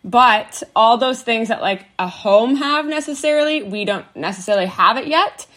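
A woman guest on a podcast, explaining her off-grid life in a relaxed, casual way. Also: fairly high pitch, moderate pace. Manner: relaxed, explanatory, uses "like" often. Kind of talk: casual interview chat.

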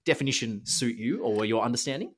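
A quick-talking man co-hosting a science podcast. He talks in an enthusiastic, chatty way and keeps things friendly with his co-host, with a middle pitch and a brisk pace. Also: expressive. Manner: chatty and enthusiastic, calls his partner mate. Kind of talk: casual science chat.

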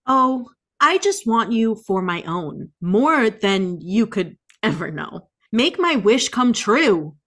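Theatrical woman, slow, swooping high voice. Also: sing-song, a bit bright. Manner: melodramatic, exaggerated delivery. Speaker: podcast co-host. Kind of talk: playful, theatrical dramatic reading of song lyrics.

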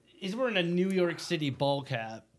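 A man's voice: on the high side, big pitch swings, moderate pace. A man co-hosting a podcast, telling a story casually and bluntly with some swearing. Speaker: podcast co-host.

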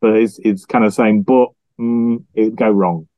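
A man giving thoughtful, casual analysis as a podcast panelist, halting and repeating words as he thinks. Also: lowish voice, fairly even intonation, mellow, no echo.

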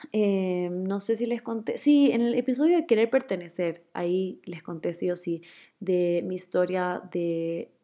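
A woman's voice: on the low side, fairly even intonation, unhurried, mellow. A young woman with a Guayaquil accent, chatting casually as a host and answering listener questions, with frequent fillers and laughs. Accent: Ecuadorian, Guayaquil. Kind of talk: casual chat.

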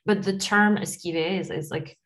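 A woman's voice: on the low side, steady tone, normal speed. A woman with a light Latin accent, a podcast studio CEO answering interview questions in a chatty way, laughing often and repeating words as she goes. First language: other than English.

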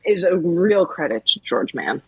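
A woman's voice: lowish voice, big pitch swings, a little slow, a little mellow, muffled recording, a little hiss or hum. A woman co-hosting a podcast, chatting thoughtfully and searching for words. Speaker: podcast co-host. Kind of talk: casual chat.

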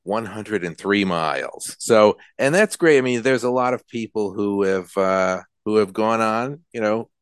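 Male podcast host, jokey and teasing, deep smooth voice, dry close sound. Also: unhurried, hardly any pauses, dry recording. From Rhode Island. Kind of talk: casual interview conversation.

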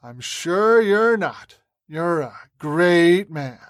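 A woman's voice: on the low side, lively intonation, unhurried, no echo, studio quality. A woman voicing a hulking male terrorist leader who sneers and draws out taunting threats. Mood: sneering taunts.

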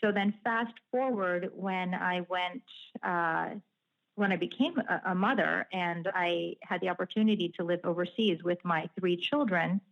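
A woman guest, an author and journalist whose first language is Japanese, answering in a measured, articulate, detailed way. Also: fairly low pitch, highs cut off. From United States. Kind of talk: interview answers.

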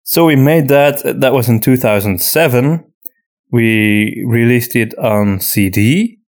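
Male podcast co-host, Flemish accent, native Dutch. Medium pitch, conversational delivery, restarts phrases while thinking. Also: lively intonation, takes it slowly. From Flanders, Belgium. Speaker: podcast co-host and audio drama writer. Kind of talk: casual conversational Q&A.

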